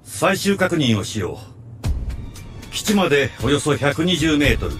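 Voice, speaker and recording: A man's voice: on the high side, very animated, unhurried, fairly bright tone, faint music behind. A man voicing a composed, analytical sci-fi anime operative giving matter-of-fact briefings. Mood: businesslike.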